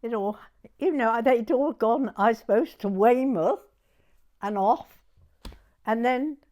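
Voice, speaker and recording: A woman in her nineties from Dorset with a southern English accent, reminiscing in an interview in a hesitant, halting way and repeating words. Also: fairly high pitch, mellow. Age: 90s. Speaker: oral history interviewee.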